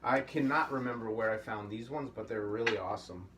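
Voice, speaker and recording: A man's voice: lowish voice, a little fast. Easygoing middle-aged man teaching puppetry. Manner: easygoing, rambling, encouraging. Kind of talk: casual workshop lesson. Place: indoor studio room at a table. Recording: slightly distant mic, faint room hum.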